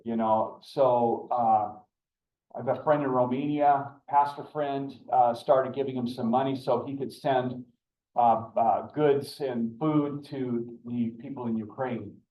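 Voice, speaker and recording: A man's voice: medium pitch, steady tone, unhurried. An older man stands up at a club meeting to introduce a speaker. He talks earnestly and rambles a little, and his voice sounds distant and dull in an echoey meeting room. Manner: rambling, earnest, with many fillers and restarts.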